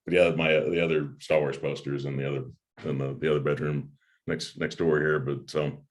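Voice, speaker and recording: A man hosting a movie review chat in a casual, halting way, often repeating his words. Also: rumbling low, level tone. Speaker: host of a movie review channel. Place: home room with a desk, on a video call.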